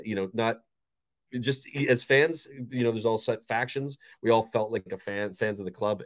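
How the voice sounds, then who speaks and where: A man's voice: fairly low pitch, dry recording. A man in his forties, a fan channel host, chatting casually and enthusiastically about a match with lots of restarts. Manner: enthusiastic, rambling with many restarts. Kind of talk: casual post-match chat. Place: bright bedroom indoors.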